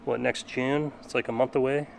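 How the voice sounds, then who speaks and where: A man's voice: mid-range voice, fairly even intonation, normal speed, creaky, slight background noise, poor audio. A man in his late thirties rambling casually to his viewers about his home chores, in a chatty, self-deprecating way. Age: 30s. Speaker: car channel vlogger. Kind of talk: casual vlog. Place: backyard and garage at home.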